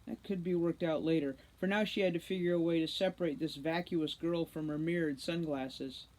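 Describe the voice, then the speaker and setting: A woman reading a children's novel aloud as an expressive narrator. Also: sits low, fairly quick, low-quality recording. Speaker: read-aloud storybook narrator, teacher.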